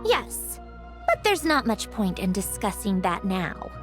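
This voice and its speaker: A woman playing a composed, analytical young woman in a video game, frank and measured. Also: medium pitch, big pitch swings. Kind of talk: video game dialogue acting. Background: game music.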